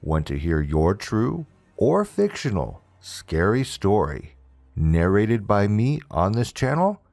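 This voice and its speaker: Slow, expressive male horror narrator. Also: lowish voice, creaky. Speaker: horror story narrator and channel host. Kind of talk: scripted scary-story narration.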